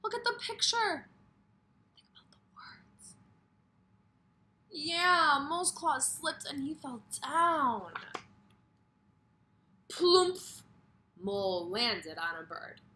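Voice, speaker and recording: A woman's voice: high voice, big pitch swings, slow pace, long pauses, bright, forceful tone, a little hiss or hum. A woman kindergarten teacher reading a story aloud expressively and putting questions directly to young children.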